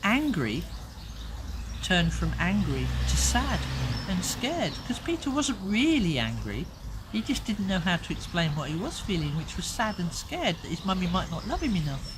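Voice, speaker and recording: A woman telling a children's story expressively, with dramatic pauses and chatty asides. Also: fairly low pitch, sing-song, noisy background.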